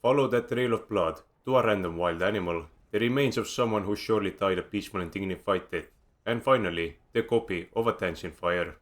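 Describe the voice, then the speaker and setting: A man with an Estonian accent reads a scripted game guide close to the mic. His voice sits very low, and his delivery is measured with a dry, wry edge. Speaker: video game guide narrator. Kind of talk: scripted voice-over narration.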